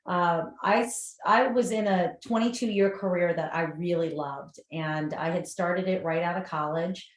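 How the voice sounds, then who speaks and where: A middle-aged American woman, a guest in an interview, telling her story in a warm, conversational way with occasional stumbles. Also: on the low side, moderate pace, hardly any pauses. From United States. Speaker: guest entrepreneur. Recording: echoey room.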